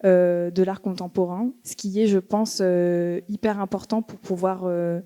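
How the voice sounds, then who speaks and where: A young woman artist on a panel, giving a steady, methodical account with many fillers. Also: on the low side, unhurried, clear, resonant tone. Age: young adult. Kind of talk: panel talk. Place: museum auditorium.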